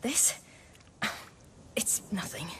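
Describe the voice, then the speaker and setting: An actress voicing a calm, protective young swordswoman in a fantasy game, gentle but firm. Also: extremely slow, in a whisper. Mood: gentle, probing. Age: young adult.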